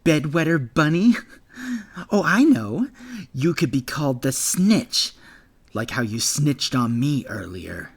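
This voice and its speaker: A man's voice: mid-range voice, sing-song, slow, gravelly, breathy edge, pitched above the performer's own voice, exaggerated voice. A man reading an audiobook, voicing a sneering, menacing bully boy who taunts his victim. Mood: taunting. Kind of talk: audiobook character voice.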